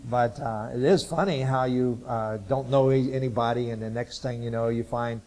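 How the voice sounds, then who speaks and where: A man in his sixties from Ohio, a Bible college missions teacher, giving an informal testimony talk to a church group in a halting, rambling way with many filler sounds. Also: medium pitch, fairly even intonation, darkish tone, a little hiss or hum. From Ohio, USA. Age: 60s.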